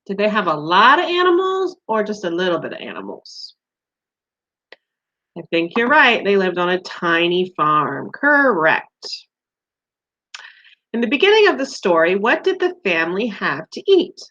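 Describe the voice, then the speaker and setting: A woman's voice: mid-range voice, very animated, measured pace, pushed and bright. A woman teacher leading a read-aloud quiz for young children, asking simple questions and giving encouragement in a slightly dull, lo-fi recording.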